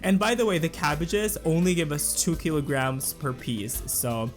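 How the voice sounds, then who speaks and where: Man, slightly raspy, fairly high voice, rambling let's play commentary, faint music underneath. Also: normal speed. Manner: chatty, thinks aloud with fillers and restarts. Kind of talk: casual gameplay commentary.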